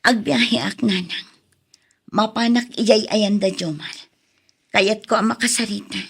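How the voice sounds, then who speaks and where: A woman's voice: mid-range voice, measured pace, gravelly, breathy edge. A woman playing a devoted, heartbroken young woman with an Ilocano accent in an audio drama. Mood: earnest, subdued.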